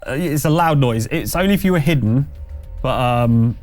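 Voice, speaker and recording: A man's voice: medium pitch, expressive, normal speed, a little mellow, dry recording, faint music behind. A man running a tabletop roleplaying game, narrating off the cuff and chatting casually, with hesitant, trailing phrasing and self-corrections. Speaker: game master.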